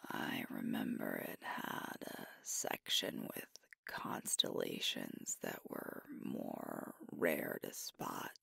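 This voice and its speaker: A woman voicing a sweet, tender werewolf girlfriend in an ASMR roleplay, speaking in a soft, breathy whisper with gentle, intimate storytelling. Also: very deep voice, sing-song, takes it slowly, dry recording, clean studio sound, heavily acted. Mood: gentle nostalgic.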